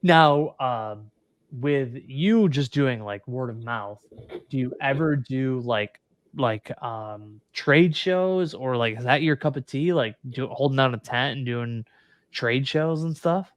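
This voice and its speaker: Thirty-something man, smooth dry voice. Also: medium pitch, takes it slowly, no room sound. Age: 30s. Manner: relaxed, laughs easily, many fillers. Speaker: podcast host. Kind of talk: casual podcast interview.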